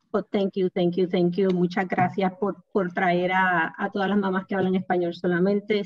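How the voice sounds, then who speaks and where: A woman's voice: fairly low pitch, dry recording. An earnest woman whose first language is Spanish, speaking on a video call and repeating herself for emphasis. Her audio is dull and dry. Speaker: parent engagement advocate.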